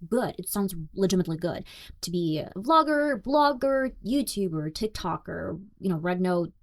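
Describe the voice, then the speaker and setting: A woman's voice: mid-range voice, lively intonation, normal speed. A young woman with a Russian accent, her native language being Russian, hosting her own podcast and speaking candidly and reflectively in a self-deprecating way. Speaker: podcast host. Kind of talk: solo podcast monologue.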